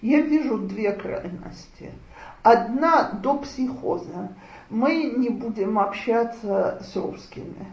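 Woman lecturer, slow and careful, lots of pauses, roomy muffled room sound. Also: on the high side, expressive, a little mellow. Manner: slow, measured, with frequent thinking pauses. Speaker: lecturer on child rearing. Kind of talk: lecture with audience discussion.

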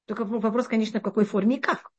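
Brisk, high-pitched woman teaching Torah. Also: husky, lo-fi sound. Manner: animated explaining with frequent restarts and rhetorical questions. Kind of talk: interactive religious class.

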